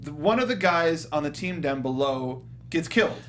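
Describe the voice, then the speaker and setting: Male panel co-host, slightly high voice, joking and sarcastic, casual banter, faint rumbly noise behind. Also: expressive, normal speed, fairly bright tone. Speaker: co-host of a movie review show.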